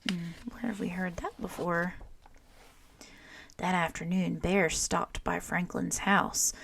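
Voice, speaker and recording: A woman teacher reading a children's picture book aloud, with expressive storytelling and little asides to the listener. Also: lowish voice, takes it slowly, gravelly, slightly rough.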